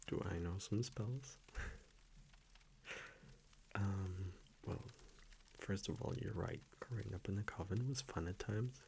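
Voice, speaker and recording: A gentle vampire boyfriend played by a man, very low and gravelly, murmuring intimate pillow talk in an ASMR roleplay. He is soft-spoken and affectionate, often slipping toward a whisper, with soft fireplace ambience faintly behind him. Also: slow pace, long pauses, slight background noise. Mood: playful, relaxed. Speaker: audio roleplay voice actor.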